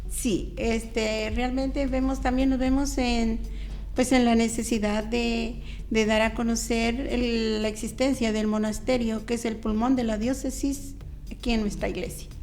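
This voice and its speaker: An older woman with a Mexican accent speaks as a devout interview guest. Her voice is fairly high and very even in pitch. She moves in halting phrases over soft music. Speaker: guest, cloistered nun. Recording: music bed under the voice.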